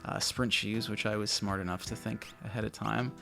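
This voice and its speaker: A man with a naturally low voice, casually commentating a video game he loves, a little mumbly and self-deprecating. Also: steady tone, normal speed, creaky, music in the background. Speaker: let's play host.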